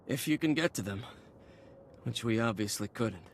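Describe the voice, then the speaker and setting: A man's voice: mid-range voice, lively intonation, normal speed, a little hiss or hum, natural voice. An actor playing a wry, sarcastic male soldier in a video game, tossing out sardonic quips. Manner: sardonic, quippy. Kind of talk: scripted video game cutscene acting.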